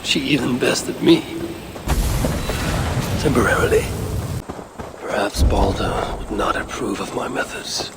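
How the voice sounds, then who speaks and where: An arrogant, wry older Norse god for a video game. His low voice is gravelly and hushed, measured and haughty, over loud outdoor ambience. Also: heavy background noise. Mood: wry contempt. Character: grim, sardonic, arrogant older Norse god, tracking enemies. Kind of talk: dramatic video game voice acting.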